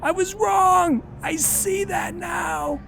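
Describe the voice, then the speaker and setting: A man acting out a panicked captive strapped down, pleading at the top of his lungs, his voice high, strained and gravelly as he pants between cries. Faint music hums behind. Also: steady tone, slow pace, heavily acted. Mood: pleading, shouting. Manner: shouting, pleading, desperate. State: panting.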